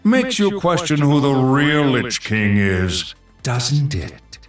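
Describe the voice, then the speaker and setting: A pompous undead archlich from a video game. He speaks slowly and menacingly, with grand swooping theatrical flourishes and sardonic dryness. The voice rings with a hollow echo. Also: medium pitch, lively intonation, distant mic, faint music behind. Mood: wry, smug. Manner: grandiose, theatrical, dry comic asides. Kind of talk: video game character voice lines.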